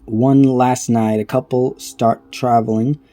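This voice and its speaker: A man giving casual reaction commentary, reading aloud haltingly and stumbling over words between offhand remarks. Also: medium pitch, level tone, dark tone. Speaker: reaction video creator.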